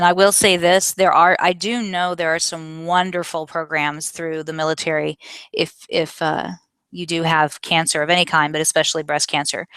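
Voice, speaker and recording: Low-pitched, clear-voiced woman answering questions. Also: a bit bright, no echo. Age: 40s. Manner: candid, explanatory, self-correcting. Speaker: vlogger answering viewer questions. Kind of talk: casual question-and-answer talk.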